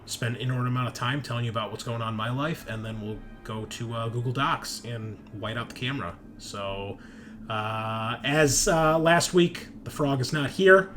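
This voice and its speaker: A man's voice: mid-range voice, fairly bright tone. A man hosting a tabletop roleplaying show with casual, chatty, informal intro banter and some swearing. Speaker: host and game master of a tabletop show. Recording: music playing behind the voice. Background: music.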